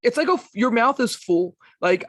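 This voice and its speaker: A young man with a raspy voice, co-hosting a podcast in laid-back, slangy casual chat. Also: very high voice, lively intonation, normal speed, fairly bright tone, no echo. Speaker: podcast co-host.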